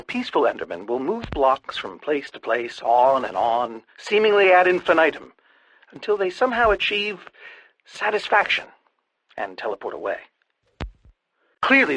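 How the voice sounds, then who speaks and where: Nerdy lonely researcher game character, pompous n wordy, pitch all over the place, super dry sound. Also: male voice, a bit bright, dry recording, low-quality recording. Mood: wistful, fascinated. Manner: theatrical, verbose, pompous scholarly diction. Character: eccentric, lonely, excitable male researcher obsessed with studying creatures. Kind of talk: animated video game voice acting.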